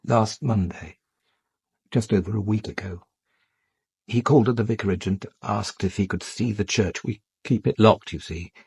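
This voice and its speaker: Polite hesitant vicar in a radio play, dark soft tone, gravelly and creaky. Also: male voice, on the low side, moderate pace, no room sound. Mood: subdued, explaining. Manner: polite, explanatory. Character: mild, hesitant male parish priest recalling events. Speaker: priest. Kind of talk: radio drama dialogue.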